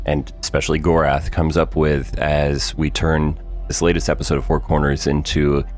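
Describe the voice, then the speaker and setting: A man's voice: rumbling low, level tone, moderate pace, soft background music. A man co-hosting a podcast, upbeat and laughing often as he chats and reads promos. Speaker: co-host. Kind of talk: casual podcast chat.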